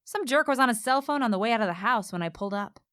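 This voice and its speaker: Actress playing single woman, wry, exasperated, high-pitched, radio play narration and dialogue. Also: lively intonation, fast, background noise, natural voice. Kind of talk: radio play acting and first-person narration.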